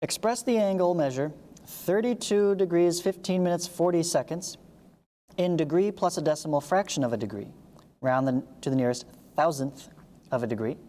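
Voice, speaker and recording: A woman's voice: sits low, warm tone, in a large hall. A woman reading a lesson aloud as a teacher, explaining step by step with short asides. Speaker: math teacher, video host.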